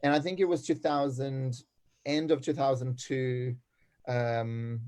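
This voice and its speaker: A middle-aged Brazilian man, a native Portuguese speaker with a Brazilian Portuguese accent, chatting as a guest on a video call, animated, laughing often and restarting his sentences. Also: mid-range voice, a little slow. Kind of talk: casual video call chat.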